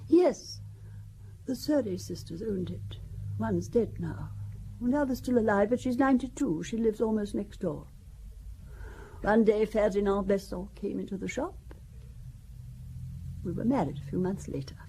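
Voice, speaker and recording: A woman's voice: medium pitch, normal speed, creaky, pauses often, mellow, slight background noise, natural voice, rough recording. An actress playing a wealthy older widow who talks about her family in a wry, confiding, gossipy way, in a radio drama. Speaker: Valentine Besson.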